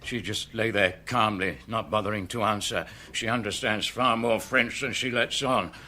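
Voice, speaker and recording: A man's voice: lowish voice, normal speed, pushed and bright. A man acting in a British radio detective drama as a grudging, evasive lodging-house keeper who answers colloquially and defensively, with fear underneath.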